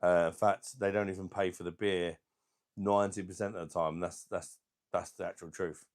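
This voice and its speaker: Deep-voiced man with a sore throat. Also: little pitch movement, moderate pace. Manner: rambling, laid-back, chuckling between remarks. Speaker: beer review channel host. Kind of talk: casual livestream chat reading viewer comments.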